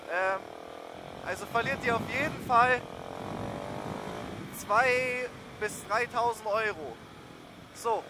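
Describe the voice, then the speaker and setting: Young man, high-pitched voice, casual slangy motovlog chat, faint engine and riding noise. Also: pushed and bright. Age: young adult. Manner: slangy, loosely rambling. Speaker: motorcycle vlogger. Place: outdoors on a moving motorcycle. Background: motorcycle engine and road noise.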